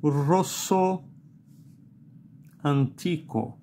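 Slow-talking man with a Brazilian accent. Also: on the high side, warm tone, a little hiss or hum. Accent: Brazilian Portuguese. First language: not English. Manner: slow and halting, with many "uh"s and a questioning "okay?". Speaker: fountain pen reviewer. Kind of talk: unscripted product review while writing. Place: indoors at a desk.

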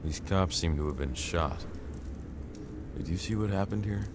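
A man portrays a wary survivor in a horror game. His voice is exceptionally deep, his manner earnest and inquisitive. Clear ambient noise runs behind the speech. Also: moderate pace, natural voice. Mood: cautious, probing. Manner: questioning, earnest. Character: wary, inquisitive male survivor searching a dark town. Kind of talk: video game voice acting.

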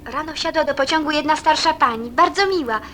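An actress playing an eager, chatty, warm-hearted young woman in a radio play, lively and girlish. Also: high voice, brisk, slight background noise.

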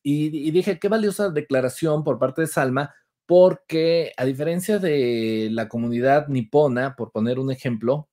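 A young man with a Mexican accent, co-hosting a gossip chat over a video call from a room at home, animated and mocking as he laughs. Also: fairly high pitch, measured pace. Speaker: co-host.

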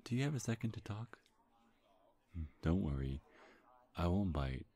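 A man's voice: low-pitched, very animated, normal speed, dark tone, full character voice. A man playing a charming, teasing demon seducer in an intimate ASMR roleplay, sly and flirtatious, with hesitant stammers. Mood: flirtatious, coy. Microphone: close, dry microphone.

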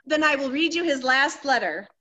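A woman's voice: sits high, expressive, normal speed, pushed and bright. A woman playing a vain, anxious middle-aged wife in a stage comedy, melodramatic and fluttery. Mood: sentimental, flustered. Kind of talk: theatrical stage comedy acting.

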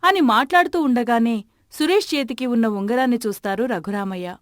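A woman's voice: on the high side, brisk, no room sound, clean studio sound. A woman narrating a scripted moral story.

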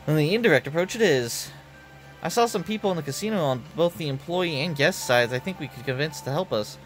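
A man's voice: a little high, expressive, a little fast, faint music behind, close to an everyday voice, low-quality recording. A man voicing a haughty, cool young woman idol in a game, aloof and self-assured.

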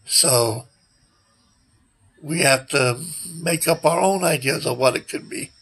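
An older man in his sixties talking casually and haltingly to a handheld phone outdoors, his voice recovering from a recent illness, often trailing off. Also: a little high, a bit bright, slightly rough. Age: older, around 60s. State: recovering from nearly losing his voice. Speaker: vlogger. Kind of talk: rambling casual selfie vlog. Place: outdoors beside a brick building. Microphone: handheld phone at arm's length.

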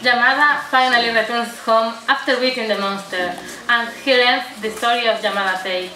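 A woman's voice: on the high side, steady tone, moderate pace. A young woman reading scripted narration for an amateur puppet show. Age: young adult. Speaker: student narrator. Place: indoor room at a day centre. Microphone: far camera. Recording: noisy echoey room, distant camera mic.

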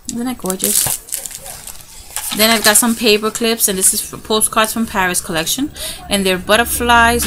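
Gushing woman vlogger showing craft supplies. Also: medium pitch. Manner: gushing, excitable, chatty. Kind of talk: casual show-and-tell unboxing. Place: home room by a window. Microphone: camera in front of her, hands in frame. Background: TV and children's noise.

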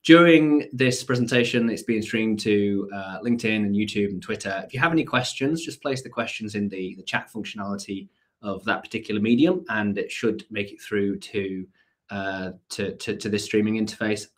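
Croaky man with a cold presenting. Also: fairly low pitch, moderate pace. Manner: conversational, frequent fillers and self-corrections. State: has a cold, voice a bit croaky. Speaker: company CEO presenting a technical talk. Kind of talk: live-streamed technical presentation. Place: home study with bookshelves, indoors.

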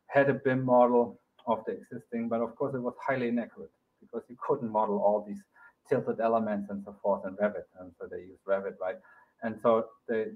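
Middle-aged male academic, relaxed talk full of "um"s, average pitch, narrow phone-like video-call sound. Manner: loose and conversational, frequent "right?" and "um". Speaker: keynote speaker. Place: home office, video call. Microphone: webcam or laptop mic on a video call.

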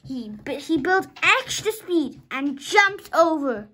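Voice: high voice, sing-song, takes it slowly, sharp tone. A young child of about five reading a storybook aloud dramatically, sometimes stumbling over words and rereading them.

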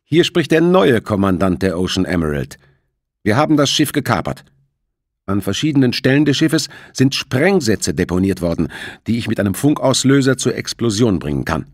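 Man voicing a menacing, husky hijacker. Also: on the low side, very animated, dry recording, clean studio sound, pitched above the performer's own voice. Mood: cold threatening. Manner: menacing, deliberate commands. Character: determined male hijacker commanding a seized ship.

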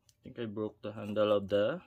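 A man casually narrating his own hands-on fishing rod repair to viewers, talking to himself between steps at an unhurried pace. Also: on the low side, steady tone, very noisy. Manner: unhurried, self-talk with pauses, addresses viewers as guys. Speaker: fishing video host. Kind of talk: casual how-to narration while working. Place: indoors at a wooden table.

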